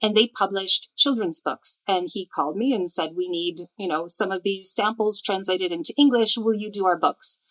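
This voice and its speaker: A woman, chatting relaxed about her path as a translator in an interview, with frequent ums. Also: medium pitch, moderate pace, hardly any pauses, poor audio. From United States, near Chicago. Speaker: literary translator, guest. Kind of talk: conversational interview storytelling.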